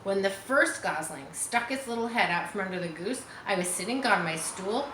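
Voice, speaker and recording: A woman's voice: medium pitch, expressive, moderate pace, echoey room, background noise, close to an everyday voice. A woman reading the part of an earnest, chatty young farm girl in a storybook, eager and matter-of-fact.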